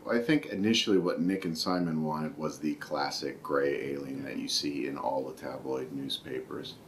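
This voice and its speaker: A man with an extremely low, deep voice talks in a behind-the-scenes interview. There is a faint noise in the background. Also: fairly even intonation, normal speed. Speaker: film animation crew interviewee.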